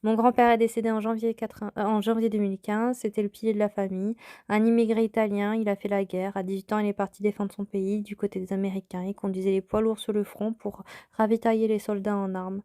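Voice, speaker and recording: A woman in her thirties, from France, hosting her own podcast and telling a personal story in a calm, reflective way. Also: medium pitch, quick pace, dry recording, clean studio sound. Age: 30s. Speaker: podcast host.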